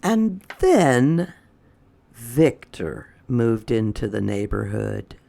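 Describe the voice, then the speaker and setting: A man's voice: mid-range voice, big pitch swings, drawn-out words, creaky, mellow. A man reading a children's storybook aloud as a storytime host. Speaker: storytime reader.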